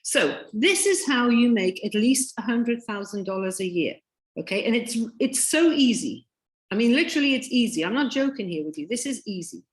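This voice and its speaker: Woman presenter, slightly high voice, croaky, insistent pitch over a video call. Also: lively intonation, normal speed. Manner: insistent, repeats points emphatically. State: croaky from illness. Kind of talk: sales pitch.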